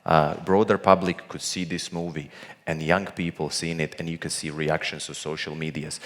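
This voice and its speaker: Guy on a panel, low voice, earnest and emphatic, big reverby hall. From Montenegro. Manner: emphatic, repeats phrases. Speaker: panel speaker. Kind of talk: earnest public panel speech. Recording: echoey hall with long reverb.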